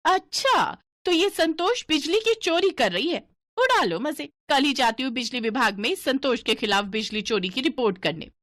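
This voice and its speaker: A woman's voice: sits high, big pitch swings, moderate pace, fairly bright tone, no echo, clean studio sound, voice pushed up. A woman voicing a jealous, spiteful middle-aged neighbour in a cartoon story. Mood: gloating. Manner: gloating, scheming.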